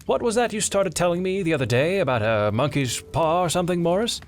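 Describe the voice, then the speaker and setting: Man playing an excitable high-voiced old father. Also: fairly quick. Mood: eager, curious. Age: older.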